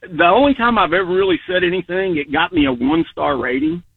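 A man's voice: on the high side, huge pitch swings, quick pace, creaky, slightly rough, no room sound, recorded from a distance. A man calling into a radio show, a rideshare driver telling amused, chatty stories. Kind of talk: phone-in call. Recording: tinny phone line.

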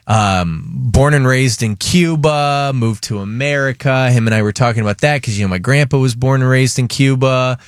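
A man hosting a radio show, telling a story in casual, animated banter with co-hosts and callers. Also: medium pitch, smooth, dry recording, studio quality. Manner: animated storyteller, quick asides.

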